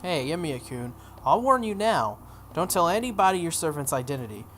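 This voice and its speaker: Brisk teenage girl mage, visual novel played by a man: guarded, matter-of-fact, slightly high voice. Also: very animated, fairly bright tone. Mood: guarded, cautioning. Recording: noticeable background noise.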